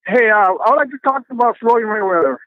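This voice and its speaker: A man in his fifties from Texas, calling in from his car while driving, speaking politely in halting sentences with many restarts. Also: very high voice, expressive, dry recording. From Texas, USA. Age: 50s. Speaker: caller. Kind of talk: phone call-in. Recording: dull phone line.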